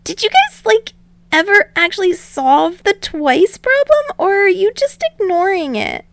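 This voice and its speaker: Woman as frazzled teen boy hero, high strained pitch, snarky, dramatic, whiny, dry close sound. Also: big pitch swings, a little slow, fairly bright tone, no echo, full character voice. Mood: exasperated. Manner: whiny, indignant, sarcastic. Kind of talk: audiobook character voice for fan fiction.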